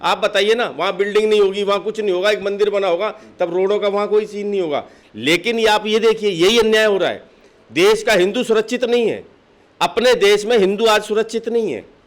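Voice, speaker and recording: A man with a notably high voice speaks as a political official in an interview. He is argumentative and insistent, pressing his case with one rhetorical question after another. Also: brisk. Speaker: political party district official being interviewed. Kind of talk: impassioned interview answers.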